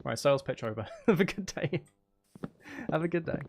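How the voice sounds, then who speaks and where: A man's voice: on the high side, expressive, moderate pace, a little hiss or hum. A man live streaming in a casual, chatty way, with dry, teasing humour while he plays a game.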